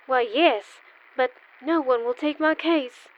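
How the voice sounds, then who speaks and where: A woman plays a polite, anxious defendant in an old-fashioned radio drama. Her high voice is proper and worried, heard through a slightly dull lo-fi recording. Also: slow pace. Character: anxious, polite lady accused of murder. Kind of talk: old-time radio play acting.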